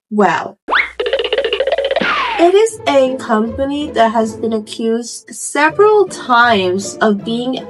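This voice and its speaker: Woman, twenties, high voice, chatty, animated, full of asides, background music. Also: poor audio. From Puerto Rico. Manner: animated, self-interrupting, conversational asides. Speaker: host of a storytime video channel. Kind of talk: casual chatty storytelling to camera.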